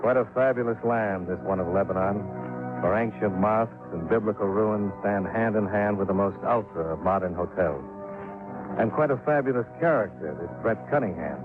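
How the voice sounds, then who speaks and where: Laconic investigator-narrator, male actor, lowish, tinny 1950s radio with score. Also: normal speed, close to an everyday voice. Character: wry insurance investigator narrating. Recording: tinny old radio with music.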